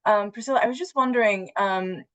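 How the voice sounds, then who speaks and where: A middle-aged woman from Maine with an American accent, moderating a panel conversationally in halting, hesitant phrases. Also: mid-range voice, highs cut off. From Maine, United States.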